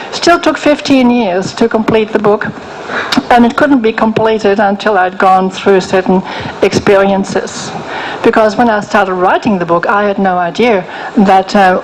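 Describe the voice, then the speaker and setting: Older woman with a soft voice, gentle and frank, pausing and restarting; interview guest, faint hum behind. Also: medium pitch, poor audio. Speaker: guest author. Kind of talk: interview, personal story.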